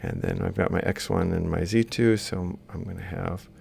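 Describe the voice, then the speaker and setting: A man's voice: low voice, fairly even intonation, moderate pace, creaky, darkish tone, a little hiss or hum. A man lecturing informally, thinking aloud as he explains step by step while drawing. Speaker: robotics lecturer.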